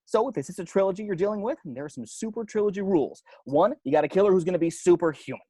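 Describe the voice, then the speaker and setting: A man's voice: high voice, animated, very fast, warm tone, slightly rough, dry recording. A man playing a dead young film geek who explains horror rules on a homemade video, emphatic and stop-and-start, in an amateur table read. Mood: earnest, ominous.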